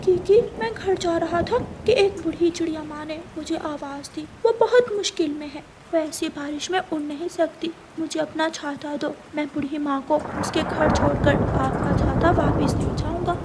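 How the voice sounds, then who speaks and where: A woman's voice: sits high, moderate pace, hardly any pauses, noisy background, voice pushed up, close to an everyday voice. A woman voicing an earnest, courteous little boy bird in a children's cartoon story. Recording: loud rain and thunder behind.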